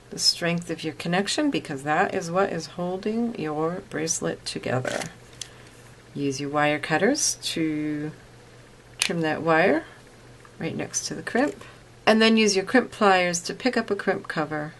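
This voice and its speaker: Woman doing a step by step craft how-to, lowish voice, plain patient explaining, some noise in the background. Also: expressive, a little slow, a bit bright. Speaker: craft tutorial presenter. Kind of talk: step-by-step instructional narration.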